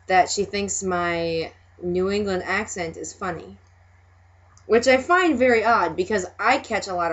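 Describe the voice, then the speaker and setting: A woman's voice: lowish voice, a bit bright. A young woman from Minnesota with a Minnesota accent, hosting a casual video chat, chatty and laughing often between remarks. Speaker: host. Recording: dull lo-fi sound.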